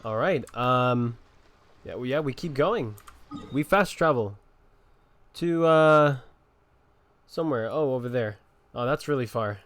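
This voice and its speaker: A man's voice: mid-range voice, expressive, measured pace, long pauses, a bit bright, dry recording. A man giving casual gameplay commentary in a playful, rambling way. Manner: playful, rambling, breaks into sing-song. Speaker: game streamer. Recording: faint background noise and game ambience.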